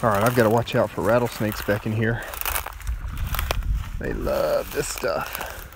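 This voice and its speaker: Texan guy in his 40s talkin through his survival stuff, lowish voice, lots of wind and outdoor noise. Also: fairly even intonation, a little slow. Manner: explains his steps plainly while handling things. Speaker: survival vlogger. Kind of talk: casual outdoor demonstration. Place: open sandy beach and dunes outdoors.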